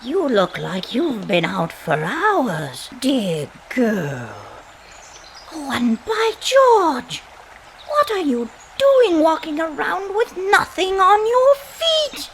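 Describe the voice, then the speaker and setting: A woman's voice: high voice, huge pitch swings, takes it slowly, heavily acted. A woman playing a kindly, insistent old woman in a fairytale audio play, with a fussing, folksy manner. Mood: hailing, fussing. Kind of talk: amateur audio play acting. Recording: faint background noise.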